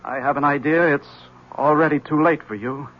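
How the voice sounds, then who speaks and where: An actor playing an ominous, evasive husband who hands out veiled threats in a 1970s radio drama. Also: male voice, on the high side, steady tone, normal speed, a little hiss or hum, natural voice. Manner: menacing, evasive. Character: ominous cryptic married man. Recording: dull lo-fi 1970s radio broadcast.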